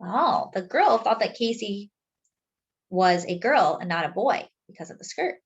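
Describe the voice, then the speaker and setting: Female children's story reader: very unhurried, animated intonation, explanatory and deliberate. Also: medium pitch, lo-fi sound. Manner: deliberate, explanatory for young listeners. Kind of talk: expressive picture-book read-aloud with simple asides.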